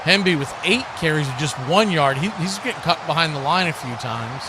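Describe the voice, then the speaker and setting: A fairly high-voiced American man hosts a live football stream. He calls the game and chats in a folksy, conversational way. Clear ambient noise runs behind his voice. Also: lively intonation, fairly quick. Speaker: play-by-play sports commentator and stream host. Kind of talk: live American football commentary with casual chat.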